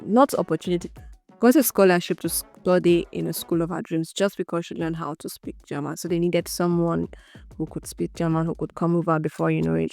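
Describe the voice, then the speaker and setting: A young woman with a Nigerian Igbo accent hosting a solo podcast, thinking out loud in an unscripted, chatty way and laughing often. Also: fairly low pitch. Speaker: podcast host.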